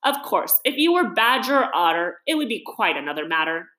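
A woman's voice: mid-range voice, sing-song, a little fast, pushed and bright. A woman voicing a kindly, sensible male water rat in a storybook, with a chummy, cheerful manner. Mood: soothing, advising. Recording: roomy, echoing reading.

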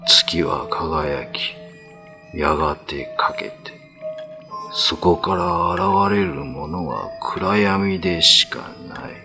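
A man's voice: low voice, very animated, gravelly, music in the background, full character voice. Guy doing old weary cat elder for radio play, stern n grave, really drawn out. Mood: grave, foreboding. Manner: slow, grave, archaic elder phrasing. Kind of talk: radio drama reading.

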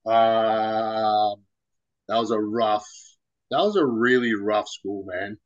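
A man's voice: on the low side, slow. Aussie bloke yarning casually, lots of bro and man, kinda muffled audio. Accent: Australian. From Australia. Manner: rambling, loose and informal, lots of "man" and "bro". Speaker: podcast guest. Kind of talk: casual interview talk.

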